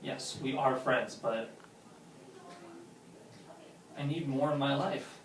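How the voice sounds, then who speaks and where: An actor playing a lovesick, wounded man with a sarcastic edge, halting and emphatic in a naturalistic drama read-through. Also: medium pitch, close to an everyday voice. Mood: wounded, earnest. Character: lovesick, wounded, sarcastic man confessing love to his friend. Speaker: actor at a script table read. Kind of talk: naturalistic film drama dialogue read aloud. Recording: roomy echo, distant mic, faint noise.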